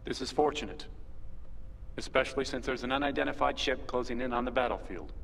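A dutiful, deferential subordinate in a sci-fi game. His mid-pitched voice is formal and rings with long reverb. Faint noise sits behind it. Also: brisk, vocal fry, fairly bright tone. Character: formal, dutiful male subordinate reporting to master.

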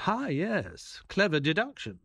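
A man playing a detective's loyal assistant who narrates an audio drama in a measured storytelling manner. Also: a little high, wildly swooping pitch, gravelly, fairly bright tone, husky, dry recording, exaggerated voice. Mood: curious.

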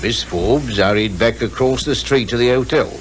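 An actor playing an obliging, plain-spoken hotel porter with a British accent, in a 1950s radio drama. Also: male voice, mid-range voice, moderate pace, natural voice. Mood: earnest, helpful. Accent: British English. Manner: plain-spoken, deferential, step-by-step telling. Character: observant, obliging male hotel porter giving his account. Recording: 1950s radio recording with faint noise.

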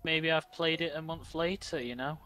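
A man chatting casually over a game, teasing his friend, laughing often and breaking into excited exclamations. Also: on the high side, normal speed, soft background music. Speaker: co-host of a gaming stream. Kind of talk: casual gaming banter.

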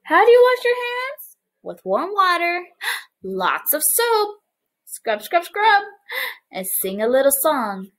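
High, slow, animated woman preschool teacher. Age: young adult. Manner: animated, exaggerated, acting out sounds for young children. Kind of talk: children's picture-book read-aloud with explanations. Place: small living room, indoors, on a couch.

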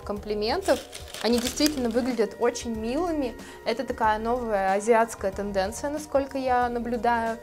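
A woman in her thirties, a florist, calmly explaining her steps in a tutorial, speaking gently to her viewers. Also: fairly high pitch. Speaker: florist teaching a course. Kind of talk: calm tutorial narration. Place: indoor studio with plants. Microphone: lapel mic.

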